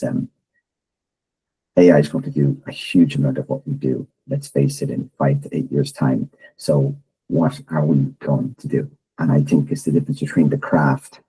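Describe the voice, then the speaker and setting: A man's voice: deep voice, creaky, warm tone, breathy edge, rough recording. A middle-aged Irish man giving a chatty, self-deprecating presentation over a video call. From Ireland. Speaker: guest presenter.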